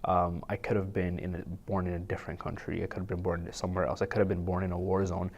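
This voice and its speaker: A man with Somali parents, speaking earnestly in an interview with some fillers. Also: low voice. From Canada, Somali parents. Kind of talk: reflective interview answer.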